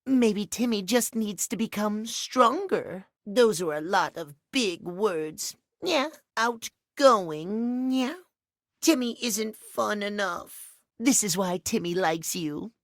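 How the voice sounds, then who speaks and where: High, cutesy, needy little cat creature. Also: male voice, lively intonation, takes it slowly, fairly bright tone, no room sound, studio quality, exaggerated voice. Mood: curious, eager. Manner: babyish third-person cutesy talk, whiny. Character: cute, needy little male cat-like creature, childlike and pouty. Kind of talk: video game character voice acting.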